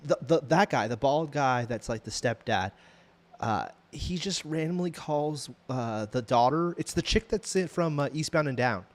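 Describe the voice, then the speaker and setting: Higher-voiced American man, rambling and slangy. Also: lively intonation, moderate pace. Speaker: podcast co-host. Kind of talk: casual conversational TV show review.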